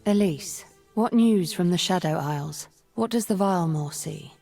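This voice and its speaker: A woman playing a cold, scheming sorceress who leads a secret cabal in a video game, speaking with haughty, deliberate menace. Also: on the low side, vocal fry, warm tone, soft background music. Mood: calculating. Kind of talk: video game character voice lines.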